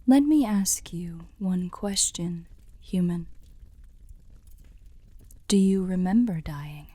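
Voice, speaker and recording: A woman playing a teasing, sly demon in a scripted ASMR roleplay, speaking formally in soft, breathy half-whispers close to the microphone. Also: on the low side, dry recording. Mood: taunting. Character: teasing, sly female demon offering a soul contract.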